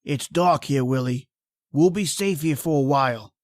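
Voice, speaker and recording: An actor playing a two-faced killer who poses as a friend, theatrical and taunting, in an old-time radio thriller. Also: male voice, mid-range voice, moderate pace, faint music behind, lightly acted. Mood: coaxing.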